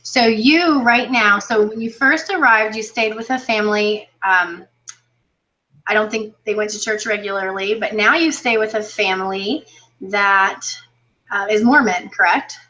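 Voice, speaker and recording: A woman interviewing an exchange student as his coordinator, warmly praising his answers between questions. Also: mid-range voice, unhurried, poor audio. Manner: encouraging, praising, many fillers.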